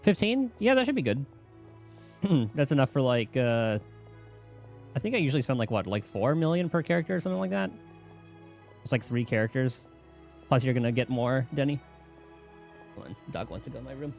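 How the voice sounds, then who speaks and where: Animated man doing casual game commentary. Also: mid-range voice, fairly quick, pauses often, soft background music. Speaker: streamer.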